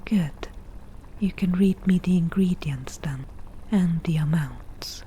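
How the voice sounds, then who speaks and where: A woman playing a crafty, slightly defensive forest witch in an ASMR roleplay, speaking in a soft breathy whisper with measured, dry delivery. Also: on the low side, slight background noise. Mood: bossy, matter-of-fact. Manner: slow, measured, matter-of-fact with dry asides. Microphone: close ASMR microphone.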